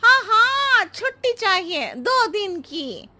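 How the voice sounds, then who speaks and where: A woman's voice: extremely high pitch, very animated, slow pace, sharp tone, heavily acted. A woman voicing a cheerful, scatterbrained housemaid who talks in long-winded circles in a comic story. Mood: pleading.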